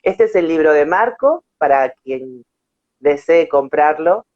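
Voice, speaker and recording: A woman hosts an online interview at a slow pace, in an effusive way, repeating words for emphasis. The audio is a dull, lo-fi internet call. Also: low-pitched, animated. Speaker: host of an online interview show. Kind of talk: warm personal introduction and interview chat.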